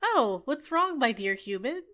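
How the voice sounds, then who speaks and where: A woman voicing a bubbly, clingy fairy with a sweetly menacing edge in an ASMR roleplay, talking in an excitable, coy, chatty way. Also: high voice, measured pace, heavily acted. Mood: playful, sly. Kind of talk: ASMR roleplay, scripted character acting.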